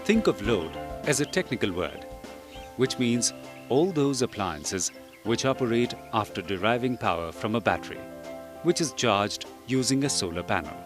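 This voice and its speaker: A man reading scripted instructional narration for a training film in a measured, didactic way. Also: lowish voice, sing-song. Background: background music.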